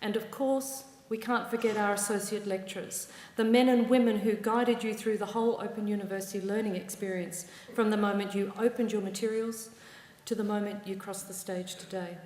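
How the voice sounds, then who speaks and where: Australian woman, ceremony speech, measured and warm, big echoey hall. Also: mid-range voice, fairly even intonation. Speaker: university ceremony presider. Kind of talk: formal ceremony address.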